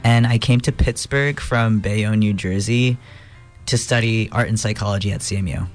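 Young male guest, low-ish, unhurried, tentative, faint music. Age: 20s. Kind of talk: casual interview answers.

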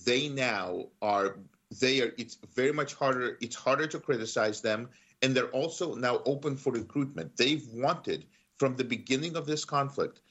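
A man from Ukraine, a native Russian speaker, talking fast and urgently as a guest in a news interview, with many restarts and fillers. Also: mid-range voice, fairly bright tone, highs cut off. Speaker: journalist guest.

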